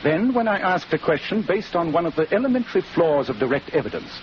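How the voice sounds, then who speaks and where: An actor in a 1940s radio drama playing an assured, incisive detective with precise English diction and an authoritative manner. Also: male voice, a little high, big pitch swings, fast talker. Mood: accusing. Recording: muffled, noisy 1940s radio recording.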